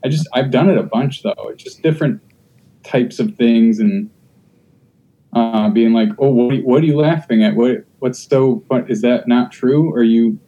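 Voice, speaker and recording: A man's voice: medium pitch, moderate pace, warm tone, dull audio. A man who does stand-up, talking casually on a podcast, blunt and riffing, sometimes mimicking how people react. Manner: blunt, riffing, acts out hecklers' voices. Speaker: stand-up comedian.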